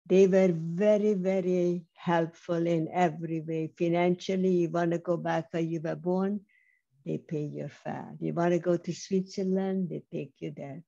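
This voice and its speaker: An older woman giving a measured, emphatic personal testimony over a video call, speaking slowly with pauses. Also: lowish voice, steady tone, gravelly, dark tone. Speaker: Holocaust survivor speaker. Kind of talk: slow personal testimony talk. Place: home room on video call. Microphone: webcam mic on video call. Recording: slightly dull lo-fi video call audio.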